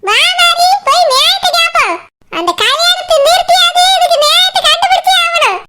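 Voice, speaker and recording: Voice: very high-pitched, fairly quick, heavy background noise. A performer voicing an aggrieved, melodramatic village mother in a comic cartoon, with a Kanyakumari Tamil accent. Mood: outraged. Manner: complaining, melodramatic. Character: aggrieved, loud-mouthed village mother of grown daughters.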